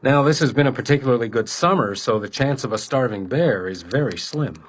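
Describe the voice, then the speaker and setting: An actor playing a wry, weary hotel house detective and former mountain guide, dry and understated, in a period radio drama. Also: male voice, mid-range voice, animated, brisk, lightly acted. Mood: measured, sceptical.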